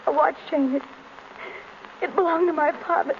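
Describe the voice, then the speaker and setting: An actress playing a timid, distraught young daughter with halting delivery in a 1930s radio drama. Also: female voice, high-pitched, fairly even intonation. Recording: dull, noisy old radio recording.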